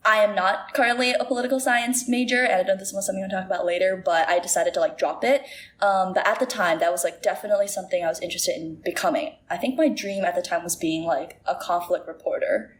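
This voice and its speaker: A woman's voice: mid-range voice, fast talker. A woman in her twenties, from Singapore and raised in Shanghai, talking casually as an interview guest with lots of "like" and "um". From Singapore, grew up in Shanghai. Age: 20s. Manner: chatty, many "like" and "um" fillers. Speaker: guest, university student and video creator.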